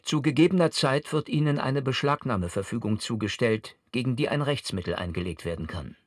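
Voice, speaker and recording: An actor playing a formal, impersonal district official, reading out an administrative notice. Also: male voice, mid-range voice, animated, brisk, no room sound, lightly acted. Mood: formal, stern.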